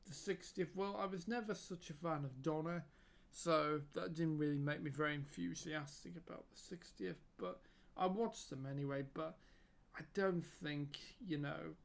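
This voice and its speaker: A man's voice: on the high side, normal speed, gravelly. A man giving a casual spoken review, hesitant with many fillers and repeated words, losing his voice. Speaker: YouTube reviewer.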